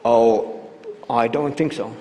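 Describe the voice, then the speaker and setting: Mellow man in a reverberant hall. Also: medium pitch, fairly even intonation, slow. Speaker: scientist on a panel. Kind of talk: answering in panel discussion. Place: live panel event in a hall.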